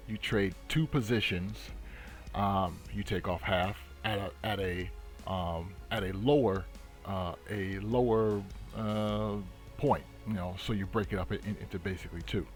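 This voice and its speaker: A man explains things off the cuff as a tutorial host, halting and repeating himself with many hesitations. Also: on the low side. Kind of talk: unscripted explanatory screen tutorial. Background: music.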